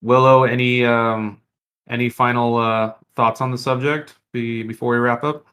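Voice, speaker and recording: A man's voice: medium pitch, flat delivery, normal speed, fairly bright tone, clear, resonant tone. A man co-hosting a podcast, chatting casually with his guest and fellow host.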